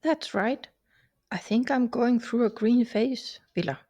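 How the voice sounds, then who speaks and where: A woman's voice: a little high, a little slow, mellow. A woman from Denmark, a fantasy writer talking in a cheerful, chatty way. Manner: cheerful, chatty, explaining plainly. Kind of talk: scripted conversation.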